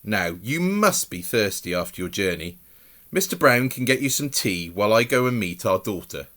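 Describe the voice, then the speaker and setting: A man's voice: on the low side, sing-song, normal speed, a bit bright. A man voicing a kindly, motherly woman in a storybook who is exclamatory and takes charge. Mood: decisive.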